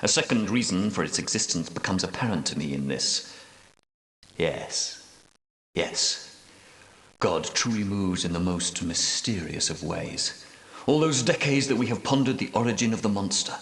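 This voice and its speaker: An actor playing the solemn, pious leader of ancient Grail knights in a video game, speaking in a grave, portentous, formal manner. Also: male voice, sits low, fairly bright tone. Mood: brooding. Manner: grave, portentous, measured, preaching. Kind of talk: scripted video game dialogue, archaic formal speech. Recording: echoey hall with long reverb.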